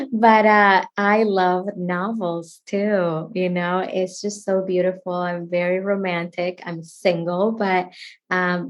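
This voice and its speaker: Chatty woman. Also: fairly low pitch. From Dominican Republic. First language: Spanish. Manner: chatty and expressive, laughs often. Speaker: author guest. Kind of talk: casual interview answers.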